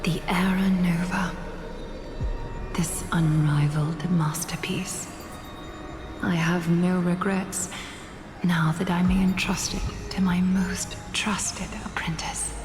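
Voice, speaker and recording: A solemn, regal weaver of fate from a fantasy game. Her breathy voice moves slowly and formally, resigned and stately. It rings through a long, reverberant hall with music underneath. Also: on the low side, level tone. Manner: stately, hushed, formal pronouncements. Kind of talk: dramatic fantasy game voice acting.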